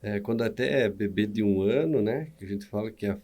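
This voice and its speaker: A man's voice: on the low side, brisk, warm tone. A man with a Brazilian Portuguese accent, a dentist hosting an interview, giving short agreeing replies and follow-up questions. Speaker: dentist host. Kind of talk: interview host.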